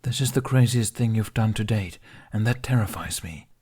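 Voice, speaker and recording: A man voicing a fierce, protective dragon in an audiobook story, forceful and exasperated with worry. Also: on the low side, normal speed, husky. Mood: anxious. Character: fierce, anxious, protective male dragon companion.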